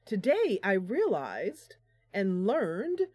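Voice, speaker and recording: A woman talks to her live-stream audience in a casual, chatty way, explaining as she goes. Her pitch sits in the middle, and the sound is dry with very little room in it. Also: wildly swooping pitch, very slow, a little mellow, slightly rough, dry recording. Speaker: game streamer and host.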